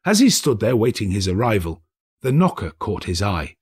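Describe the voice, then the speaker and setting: A man narrating a classic story as an audiobook, with theatrical, measured storytelling. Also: lowish voice, big pitch swings, breathy edge. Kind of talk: expressive literary narration.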